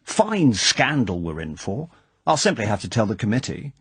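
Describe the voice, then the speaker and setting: A man's voice: lowish voice, wildly swooping pitch, normal speed, husky, dry recording, exaggerated voice. A man voicing an indignant older club chairman who bursts out in angry, worried exclamations. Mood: furious. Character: blustering older male club chairman, easily angered.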